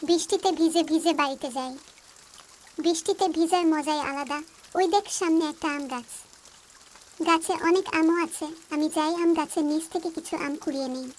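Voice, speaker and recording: Woman as a high-voiced little schoolgirl. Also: normal speed, lightly acted. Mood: carefree. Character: chatty, carefree young village schoolgirl.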